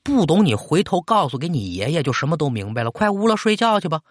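A man voicing an elderly grandmother, secretive and doting, gently scolding in a folksy storytelling style. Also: on the high side, sing-song, fast talker, no room sound, clean studio sound, pitched above the performer's own voice. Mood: insistent. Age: older.

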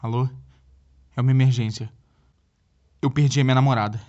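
A man's voice: mid-range voice, steady tone, fairly quick, darkish tone, lo-fi sound, close to an everyday voice. An actor playing a needy, flustered young Brazilian boyfriend in a comedic audio drama, stammering and repeating himself as he pleads for help. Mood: distressed. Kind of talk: comedic audio drama, one side of a phone call.

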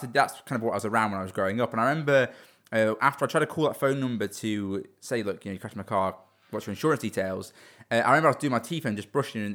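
A young man in his twenties speaks quickly and earnestly in a relaxed interview. His voice sits a little low. He often restarts and doubles up words before pressing on. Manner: fast, earnest, repeats words when restarting. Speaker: business owner guest. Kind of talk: casual interview talk.

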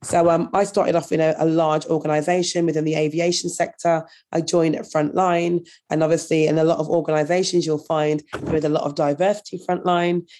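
A woman from Birmingham with a croaky voice from a cold, telling her story earnestly as an interview guest. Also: fairly low pitch, mellow. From Birmingham, England. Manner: earnest, thoughtful, with some repeated words. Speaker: guest, anti-racism consultant.

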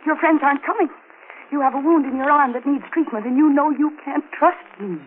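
A woman's voice: sits high, a little fast, phone-quality sound, natural voice. An actress playing an intense, determined wife and mother fighting for her family in an old-time radio thriller. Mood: defiant. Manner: intense, pointed, challenging. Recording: thin, hissy old radio recording.

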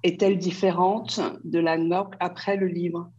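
A woman interviewing an author, asking gentle and encouraging questions. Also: fairly low pitch, flat delivery, measured pace, lo-fi sound, a little hiss or hum.

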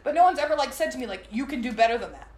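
A young woman with a low, slightly raspy voice, talking loosely as a guest on a podcast. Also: animated, rapid-fire, darkish tone. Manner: chatty, loose, lots of fillers. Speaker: guest singer and artist. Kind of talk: casual podcast chat. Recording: roomy echo.

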